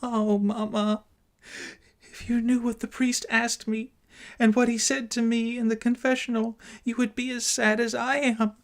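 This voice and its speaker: A man voicing a distressed, ashamed twelve-year-old girl in an audiobook, with a trembling, halting delivery. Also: extremely high pitch, level tone, voice pushed up. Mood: tearful, distressed. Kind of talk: dramatised audiobook reading.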